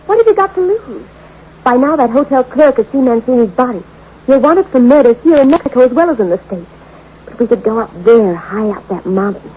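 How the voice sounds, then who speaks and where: A woman's voice: fairly high pitch, animated, brisk, close to an everyday voice. A woman playing a scheming femme fatale. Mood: coaxing. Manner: coaxing, persuasive. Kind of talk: 1950s radio drama acting. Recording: dull, hissy old radio recording.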